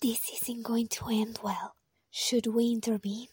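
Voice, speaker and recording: An actress playing a composed, thoughtful advisor in an audio drama, measured and matter-of-fact. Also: female voice, fairly high pitch, animated, dark tone, no room sound, clean studio sound. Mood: wary whisper.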